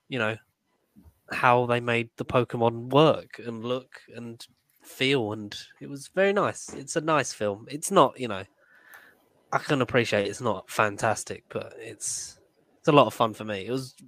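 Dry-recorded man chatting haltingly. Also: medium pitch, a bit bright, no echo. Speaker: podcast panelist. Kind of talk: casual chat.

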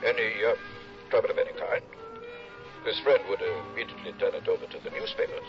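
A man's voice: lowish voice, quick pace, lo-fi sound, soft background music, voice pushed up, natural voice. An actor putting on the voice of a sly male blackmailer whose letter is read out, smug and falsely polite, in a 1960s radio drama.

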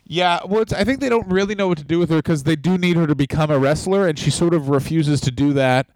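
Male co-host, crude and blunt, slightly high voice, dry close mic. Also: fast talker, a little mellow, dry recording, rough recording. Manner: blunt, crude, joking riffs. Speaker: podcast co-host. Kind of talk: casual podcast chat.

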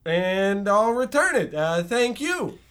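A man's voice: high voice, sing-song, slow pace, a bit bright, clean tone, exaggerated voice. A man playing an earnest, timid boy who speaks in a hesitant, stilted way in a comic radio play.

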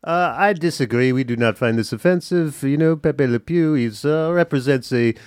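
An actor playing a pompous, boastful Frenchman with a French accent, talking in a drawn-out, hesitant way as a comic panelist. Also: medium pitch, clean tone, no echo, studio quality, lightly acted. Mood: smug. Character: boastful French man, smug and worldly.

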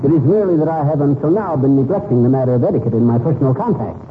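An actor playing a blustering husband with pompous, flowery manners in a 1940s radio comedy. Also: male voice, mid-range voice, animated, rapid-fire, natural voice. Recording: tinny, noisy 1940s radio recording.

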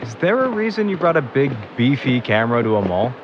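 A man's voice: mid-range voice, sing-song, a little fast, muffled recording, noisy background, close to an everyday voice. A man playing a wry, grumbling young man in naturalistic audio drama, conversational and dryly sarcastic.

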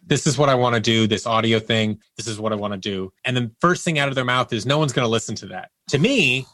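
A man with a mid-pitched voice talks casually as a guest in an interview. He tells anecdotes with emphasis, slipping into quoted dialogue as he goes. The sound is dry and close. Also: fast, a bit bright, dry recording. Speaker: podcast guest, audio producer.

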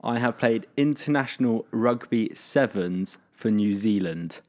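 A man hosts a relaxed podcast quiz, reading clues aloud. His voice sits a little low, and he stumbles through fillers and breaks into laughter easily. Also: unhurried. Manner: laughs often, hesitant fillers. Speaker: podcast host running a quiz. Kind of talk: casual podcast chat.

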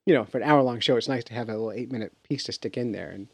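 A man who hosts a podcast, speaking in a measured, deliberate way. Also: mid-range voice, slightly rough, dry recording. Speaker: podcast host. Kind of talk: casual podcast chat.

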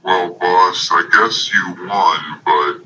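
A man's voice: low-pitched, fairly even intonation, extremely slow, pushed and bright, highs cut off, a little hiss or hum. A man acting as a podcast announcer and sidekick, speaking in a grumbling, old-school way. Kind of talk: announcing and casual banter.

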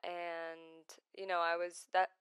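A woman in her twenties from Iraq talks about her life in a personal vlog, gentle and hesitant, with many pauses and restarts. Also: lowish voice, level tone, clean tone, no room sound. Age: 20s. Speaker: vlogger.

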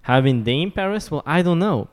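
A man's voice: a little high, animated, quick pace. A man in his twenties, from Romania, chatting as an interview guest, thoughtful and often restarting to find the right words. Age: 20s. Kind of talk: casual interview chat.

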